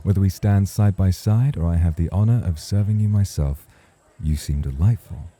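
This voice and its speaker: An extremely low, very dark and mellow male voice with a husky edge , acted by a man. He is a self-assured star nightclub host in a romantic ASMR roleplay, courtly and playfully provocative with the listener. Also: moderate pace. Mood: charming.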